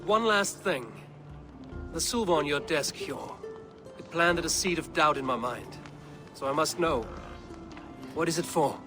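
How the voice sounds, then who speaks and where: Steady, measured Norse warrior. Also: male voice, a little high, over music. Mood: calm, resolute. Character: Eivor, steady, commanding Norse warrior raider. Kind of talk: video game dramatic dialogue.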